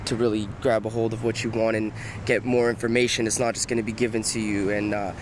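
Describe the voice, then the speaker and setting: A man's voice: fairly low pitch, flat delivery, fast talker. A young man with a Canadian accent, a rapper, chatting casually in an interview. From Ontario, Canada. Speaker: rapper in a hip hop group. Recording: very noisy outdoor street ambience, passing cars.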